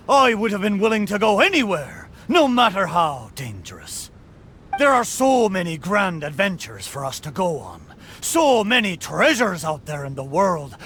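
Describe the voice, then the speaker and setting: A man's voice: a little high, a bit bright, a little hiss or hum, exaggerated voice. A man playing a sentimental talking shipwreck in a video game, speaking like a theatrical old pirate sailor with a stage pirate brogue. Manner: theatrical, hearty, archaic sailor phrasing. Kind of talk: video game character acting. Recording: game music behind the voice.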